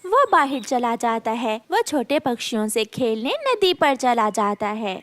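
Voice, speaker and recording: A woman's voice: a little high, lively intonation, a little slow, no echo. A woman narrating a scripted children's story.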